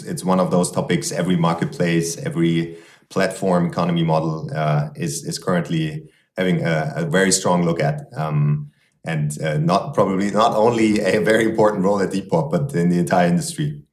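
Male podcast host, deep voice, halting delivery, echoey room sound. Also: fairly even intonation, darkish tone. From Germany. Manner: hesitant, many fillers and restarts. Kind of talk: podcast interview. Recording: roomy echo.

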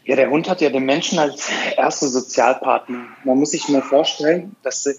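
A man's voice: medium pitch, moderate pace, highs cut off, background noise. A man in his thirties, a dog behavior therapist, answering interview questions with many restarts and fillers. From Afghanistan.

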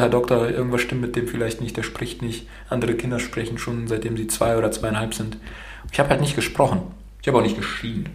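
A Romanian-born man raised bilingual in Germany talks with a rolled R, frank and loose, dropping curse words and fillers. His voice sits at an ordinary pitch for a man. The recording carries a noticeable room echo. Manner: frank, with casual swearing and many fillers. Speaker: podcast host being interviewed. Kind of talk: casual motivational talk.

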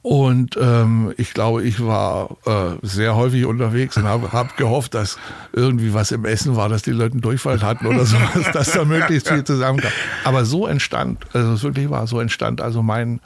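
Gravelly older man from Berlin. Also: medium pitch, fairly even intonation, a little fast, a little hiss or hum. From Berlin, Germany. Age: 60s. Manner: chatty storyteller, many fillers and restarts, laughs easily. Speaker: arena manager, guest. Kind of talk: relaxed interview talk.

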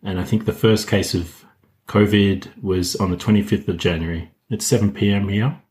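Man, 30s, low voice, relaxed podcast guest in informal chat. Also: normal speed. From Australia. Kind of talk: casual chat.

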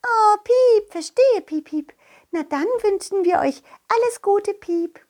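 Tiny, shrill, squeaky peeping mouse. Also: female voice, animated, slow pace, a little mellow, heavily acted. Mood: eager. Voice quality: thin, squeaky. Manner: peppers talk with peeping noises. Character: tiny, excitable squeaky mice, playful and helpful. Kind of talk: children's audio play.

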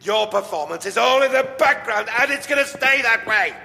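A man's voice: extremely high pitch, animated, moderate pace, vocal fry, sharp tone, heavily acted. An actor playing a harsh, domineering theatre director, scornful and theatrical as he berates his cast. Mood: furious, scornful. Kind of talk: scripted audio drama. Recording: reverberant hall, theatre stage acoustic.

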